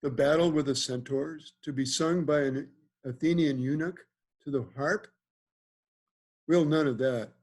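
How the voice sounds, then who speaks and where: A man's voice: a little high, unhurried, a little mellow. A man reading the part of a lordly, genial ruler in Shakespearean verse at an amateur table read over video call. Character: lordly, genial male ruler of Athens, a bridegroom. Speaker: amateur reader in a play.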